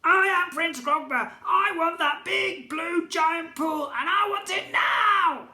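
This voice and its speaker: A spoiled little goblin prince screeches and squeals in a very high, bright, piercing voice. He bellows orders and throws tantrums, his voice straining and strange. The room adds a roomy echo. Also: lively intonation, moderate pace, gravelly, exaggerated voice. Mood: shrieking tantrum. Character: spoiled tiny bratty male goblin prince.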